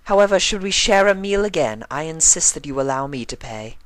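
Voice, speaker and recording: A refined storybook mare, acted out by a man, lifted well above a man's usual register. The delivery is theatrical and gracious, with formal, genteel wording and a bright edge. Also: a little high, lively intonation, fairly quick, no room sound, voice pushed up.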